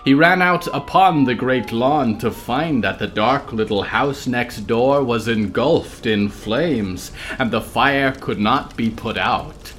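A man narrating a literary fable in a deliberate, dramatic storytelling manner. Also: mid-range voice, fairly bright tone, a little hiss or hum.

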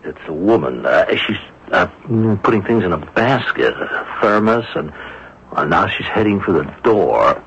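A grumbling, suspicious police inspector in an old radio drama. His delivery swings widely as he hesitates, questions and exclaims. The broadcast is muffled, with clear noise over it. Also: deep voice, sing-song, measured pace, a bit bright, exaggerated voice. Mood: wary, questioning. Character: wary, grumbling male police inspector on a stakeout. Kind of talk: radio mystery drama acting.

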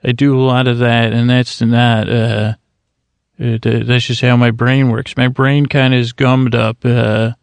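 A middle-aged man hosting a sleep podcast, with a creaky voice and a slow, meandering, soothing way of talking full of restarts. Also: medium pitch, no room sound. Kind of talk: rambling sleepy monologue.

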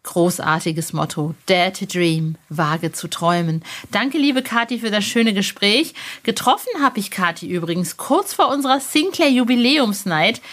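A woman's voice: mid-range voice, expressive, normal speed, few pauses, a bit bright. A woman hosting a podcast in a casual, chatty and playful way. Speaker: podcast host.

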